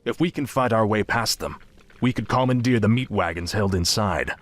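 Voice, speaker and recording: Low, solemn undead commander played by a man. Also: moderate pace, very noisy, close to an everyday voice. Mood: grim resolve. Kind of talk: fantasy game cutscene dialogue. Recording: loud battle ambience behind voice.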